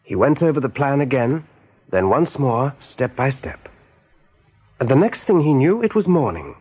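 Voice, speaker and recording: An actor as the storyteller of a radio play, narrating dramatically in a husky male voice. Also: medium pitch, big pitch swings, normal speed, a little mellow. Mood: grave storytelling. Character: storyteller narrating an animal adventure.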